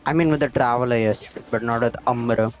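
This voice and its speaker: A man's voice: mid-range voice, brisk, faint music behind. A man chatting casually while he plays a game on a live stream. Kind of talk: casual gameplay chat.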